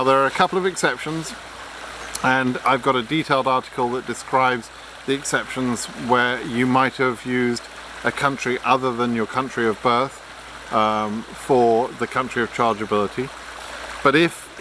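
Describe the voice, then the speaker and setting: A man's voice: medium pitch, noisy background. A man giving blunt, frank advice in an unscripted explainer, lecturing with frequent ums and restarts. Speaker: immigration advice vlogger.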